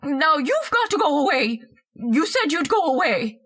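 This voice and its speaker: An actress playing a frightened, easily swayed wife turned desperate murderess in a radio horror drama, hesitant and pleading. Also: female voice, high voice, big pitch swings, a little slow, fairly bright tone, exaggerated voice. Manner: hesitant, repeating words, pleading. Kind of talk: old-time radio horror acting.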